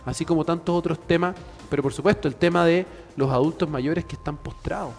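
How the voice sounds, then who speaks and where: A man's voice: on the high side, a little fast, gravelly, darkish tone, faint music behind. Earnest man, reverberant studio. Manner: earnest, speechlike, with many fillers.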